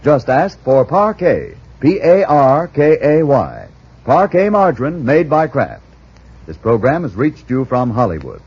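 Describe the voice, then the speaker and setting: A male 1940s radio announcer delivers a scripted sales pitch with an animated, swooping melody. His delivery is persuasive and emphatic, and the vintage broadcast sound is slightly dull and husky. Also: unhurried.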